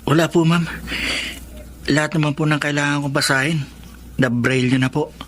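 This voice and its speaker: A man's voice: on the high side, steady tone, normal speed, fairly bright tone, slight background noise, close to an everyday voice. A man with a Filipino accent playing a shy young blind schoolboy in a radio drama, hesitant and deferential. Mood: timid, worried.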